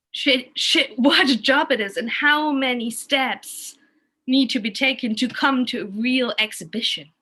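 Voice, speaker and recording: A woman's voice: a little high, sharp tone. A woman with a German accent, a native German speaker, talking casually and emphatically in an informal, opinionated way. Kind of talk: casual, animated conversation.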